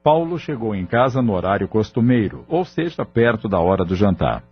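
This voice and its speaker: Brief: male narrator, low husky voice, steady measured storytelling, dull lo-fi audio with faint music underneath. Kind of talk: scripted audio novel narration.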